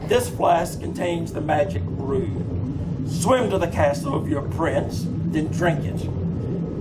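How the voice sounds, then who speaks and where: A man playing a gloating, cruel witch doctor of the sea with cackling, taunting menace in an old-time radio drama. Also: fairly high pitch. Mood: sly, demanding. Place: live on a theater stage. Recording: very noisy live theater recording.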